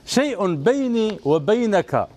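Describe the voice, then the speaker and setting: A man in his forties with an Algerian Arabic accent, hosting a children's TV show. He gently coaxes children on stage and repeats praise over and over. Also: high voice, big pitch swings, takes it slowly. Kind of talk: coaxing kids on stage. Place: indoor TV studio set. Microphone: handheld mic.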